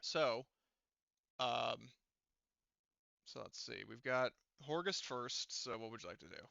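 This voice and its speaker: A man's voice: mid-range voice, lively intonation, slow, creaky, bright, forceful tone, no room sound, dull audio. A man acting as game master in a casual tabletop game, narrating and working out rules aloud in an informal, sweary way. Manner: informal, profane.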